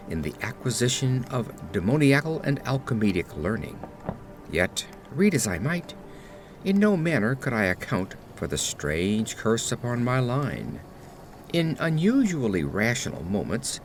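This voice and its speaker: A man narrates a gothic horror tale with a widely moving, expressive pitch. His delivery is solemn and deliberate, in an old-fashioned literary manner. Faint atmospheric ambience sits behind his voice. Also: slight background noise. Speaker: audio drama narrator. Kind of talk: dramatic scripted narration of gothic horror story.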